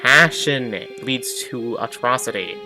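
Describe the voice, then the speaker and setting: A man giving casual let's play commentary, halting and rambling as he repeats himself. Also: mid-range voice, rough recording. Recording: loud game music behind voice. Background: loud game music.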